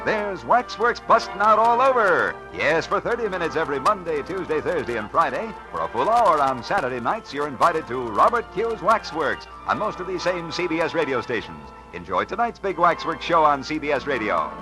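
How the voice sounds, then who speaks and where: A man's voice: fairly high pitch, big pitch swings, moderate pace, hardly any pauses, over music, rough recording. An American man announcing on 1950s radio in a polished, emphatic, scripted promotional style.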